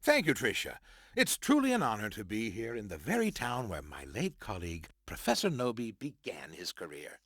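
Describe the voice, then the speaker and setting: A man's voice: on the low side, wildly swooping pitch, normal speed, fairly bright tone, breathy edge, no echo, studio quality, full character voice. A man playing a pompous, self-important professor and author in a video game, speaking in a florid manner. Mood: flattered.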